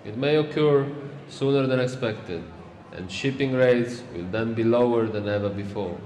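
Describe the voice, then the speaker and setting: An actor playing an old ship owner who gives a formal, measured banquet speech. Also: male voice, mid-range voice, a little mellow, close to an everyday voice. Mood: solemn. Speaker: speaker at a banquet. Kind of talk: radio play acting. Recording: echoey hall, heard from a distance.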